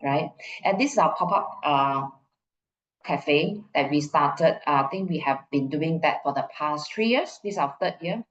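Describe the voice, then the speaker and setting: A woman's voice: sits low, moderate pace. A Malaysian woman at the front of a conference hall, explaining her slides point by point, her voice bouncing off the walls and slightly dulled. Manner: repeats phrases for emphasis, checks in with "right?". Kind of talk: conference presentation, informal and explanatory. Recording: muffled, echoey room.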